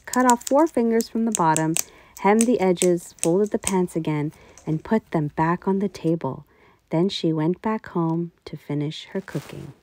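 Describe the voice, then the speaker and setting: A woman storyteller reading a children's tale with expressive, deliberate phrasing. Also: on the low side.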